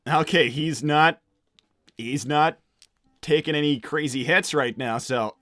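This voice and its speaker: A man's voice: fairly high pitch, takes it slowly, a bit bright, no echo, soft background music. A man voicing a young hedgehog hero in a livestream roleplay, casual and impatient, often stammering. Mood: uneasy. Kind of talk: improvised in-character livestream roleplay, reading out messages.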